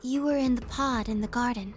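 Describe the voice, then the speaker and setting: An actress playing a gentle, composed young female caretaker in a video game, polite and precise. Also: a little high, brisk, close to an everyday voice. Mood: calm, informative. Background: game music.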